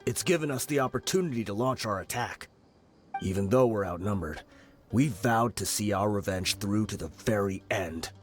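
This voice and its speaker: A man's voice: lowish voice, normal speed, faint music behind, lightly acted. An actor playing a weary male mercenary leader who speaks in a brooding, confessional way. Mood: vengeful.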